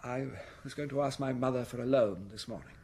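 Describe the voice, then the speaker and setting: An actor in a radio drama playing a profligate aristocratic count, hesitant, rueful and self-absorbed. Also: male voice, medium pitch, fairly quick, darkish tone, a little hiss or hum, lightly acted. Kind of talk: BBC radio drama acting.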